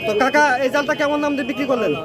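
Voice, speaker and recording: Man, high voice, quick talker, repeats answers back, loud crowded street market behind. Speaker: vlogger interviewing a vendor. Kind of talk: casual street interview. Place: outdoor market, off camera. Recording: loud crowd noise outdoors. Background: busy crowd and vehicles.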